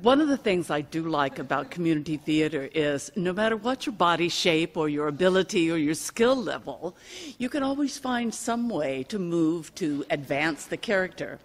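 A middle-aged woman speaking in a measured, articulate, theatrical way in an interview. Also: deep voice, animated. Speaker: community theater actress. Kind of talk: cast interview. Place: empty theater auditorium. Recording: reverberant theater hall.

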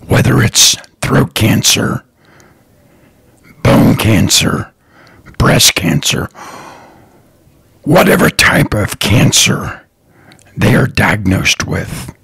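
A man hosting his own podcast, talking slowly and earnestly in a raspy, partly whispered voice. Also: lowish voice, a bit bright, no echo, clean studio sound. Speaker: podcast host, lawn care business owner. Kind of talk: slow, earnest solo podcast talk.

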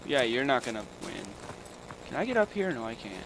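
A man's voice: medium pitch, sing-song, fairly quick, a bit bright. A boy gamer chatting casually, often crying out and grumbling when he gets killed. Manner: whiny, exclaims often. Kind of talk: casual gaming chat. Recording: noisy home mic.

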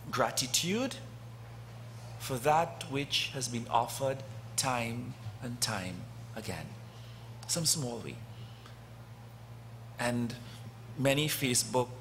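Male priest, middle-aged, slightly high voice, slow deliberate sermon delivery with long dramatic pauses, faint background hiss. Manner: slow and deliberate, long pauses, swelling loud then dropping soft. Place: church sanctuary, indoors. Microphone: lectern gooseneck mic.

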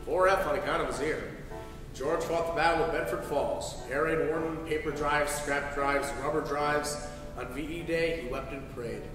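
A man playing a solemn heavenly narrator in a live radio play, telling the story in measured declarations. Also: a little high, steady tone, close to an everyday voice. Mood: solemn storytelling. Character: solemn male heavenly narrator briefing an underling. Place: on stage in a school auditorium. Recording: echoey distant hall, music behind. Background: music.

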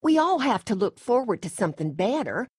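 A woman's voice: a little high, very animated, fairly quick, darkish tone, husky, no room sound, clean studio sound. A woman playing a middle-aged Southern farm mother from a video game, with folksy, effusive hospitality and a controlling streak. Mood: kindly. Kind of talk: video game character acting.

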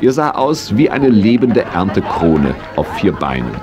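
A man's voice: lowish voice, very animated, unhurried, over music. A man acting as the narrator of a children's radio play, telling the tale in scripted storytelling style. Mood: cheerful.

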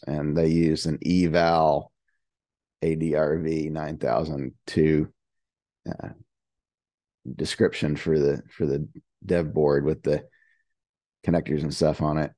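A man's voice: rumbling low, level tone, slow, long pauses, warm tone, no room sound. A man on a video call asking hesitant, puzzled questions about hardware in a technical meeting. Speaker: engineering team member asking questions.

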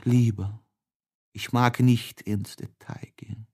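A man reading a classic literary letter as an audiobook narrator, with a deliberate and expressive dramatic delivery. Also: mid-range voice, husky.

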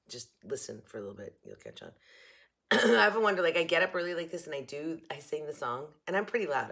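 Slightly hoarse, chatty woman in her kitchen. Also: low voice, a bit bright. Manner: chatty, rambling, self-mocking. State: a little hoarse. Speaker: haul vlogger. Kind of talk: casual chat to camera. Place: home kitchen, indoors, early morning.

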